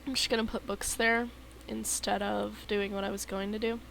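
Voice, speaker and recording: A woman's voice: mid-range voice, flat delivery, normal speed, creaky, fairly bright tone, slight background noise, rough recording. A woman streaming a game and chatting casually, sleepy and yawning, who laughs often and swears casually. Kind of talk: casual live-stream chat.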